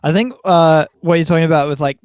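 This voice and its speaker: A man's voice: a little high, quick pace, darkish tone, no echo. Young man, dry close sound. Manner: hesitant, thinking aloud. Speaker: band member and podcast guest. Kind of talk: casual group podcast chat.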